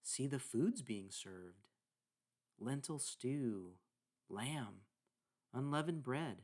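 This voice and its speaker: A man leading a guided meditation, speaking deliberately in short phrases with pauses between them. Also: medium pitch. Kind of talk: guided meditation narration with scripture reading.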